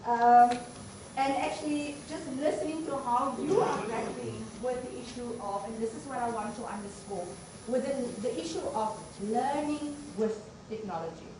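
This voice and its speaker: A woman's voice: a little high, moderate pace. Woman speaking unmiked in echoey hall. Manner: earnest, restarts phrases, emphatic questions. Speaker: university deputy vice chancellor opening a colloquium. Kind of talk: unscripted opening address. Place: lecture venue. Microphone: no microphone, speaking unamplified. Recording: echoey, distant room recording with noise.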